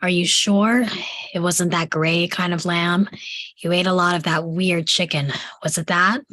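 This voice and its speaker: A woman's voice: lowish voice, steady tone, unhurried, a bit bright, no room sound. A woman playing a poised, smug rich woman with falsely sweet composure in a comedy table read. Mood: needling.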